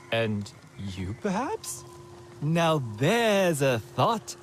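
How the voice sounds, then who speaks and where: Excitable, swooping male alien healer. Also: mid-range voice, a little hiss or hum. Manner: theatrical, halting phrasing. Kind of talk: video game acting.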